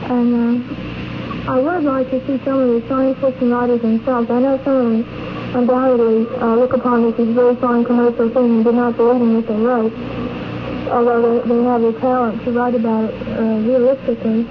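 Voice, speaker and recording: A woman with a fairly high voice answers an interviewer thoughtfully, pausing as she goes. The old radio recording is muffled and noisy. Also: flat delivery. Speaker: convention attendee being interviewed. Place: convention in the countryside.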